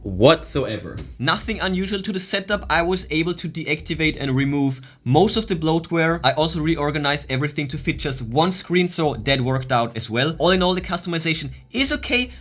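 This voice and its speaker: A man's voice: a little high, animated, fairly quick, few pauses, fairly bright tone. Man giving a casual, enthusiastic gadget review. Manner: enthusiastic, informal, short exclamations. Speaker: tech reviewer. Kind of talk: casual hands-on product review commentary.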